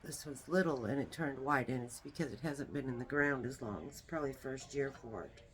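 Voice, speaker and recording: A woman with a small, soft voice, demonstrating a herbal remedy in a homey, unhurried way while she works. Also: a bass voice, poor audio. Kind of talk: casual how-to demonstration.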